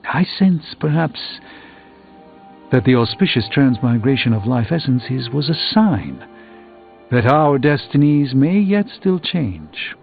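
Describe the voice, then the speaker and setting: An actor playing a learned, reassuring sorcerer in a video game, speaking in a formal, measured, philosophical way. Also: male voice, mid-range voice, sing-song, gravelly, exaggerated voice. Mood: earnest counsel. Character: grave, learned, reassuring adult male sorcerer. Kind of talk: scripted video game character dialogue. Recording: tinny band-limited audio with music.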